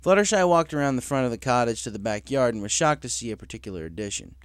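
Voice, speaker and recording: A man's voice: mid-range voice, lively intonation, fast talker, clear, resonant tone, dry recording, clean studio sound. A man reading a story aloud as a scripted narrator. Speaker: story reader.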